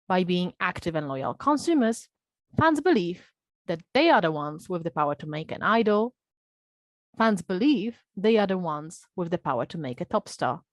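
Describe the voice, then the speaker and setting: A woman's voice: fairly low pitch, no echo. A woman reading out a scripted academic presentation as a panel speaker.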